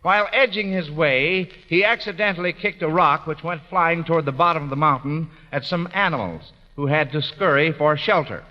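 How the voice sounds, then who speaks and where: A man's voice: a little high, normal speed, few pauses. A man hosting a 1940s radio quiz show, moving between formally read questions and slightly hesitant banter. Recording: muffled old radio, hall echo, noisy audience. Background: studio audience noise.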